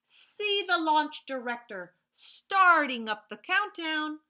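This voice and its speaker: Female storybook reader for young kids: slow, bright, expressive, sing-song, talks directly to the children. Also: high voice. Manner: animated and sing-song, drops to hushed, whispery repeated sound words; addresses young listeners directly, pointing out pictures. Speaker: teacher reading picture books aloud to young children. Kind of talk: expressive read-aloud of children's storybooks, with questions to listeners.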